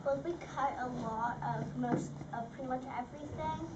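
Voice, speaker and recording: A drama camp student answering questions after a show, hesitant and filling pauses. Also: female voice, sits high, echoey room, far from the mic, dull audio, very noisy. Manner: hesitant, says um a lot. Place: school hall with audience.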